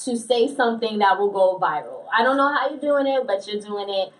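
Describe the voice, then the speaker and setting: A woman's voice: medium pitch, lively intonation, a little fast, recorded from a distance, poor audio. Chatty female interviewer, slightly off the mic. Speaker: interviewer, magazine journalist. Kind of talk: conversational interview.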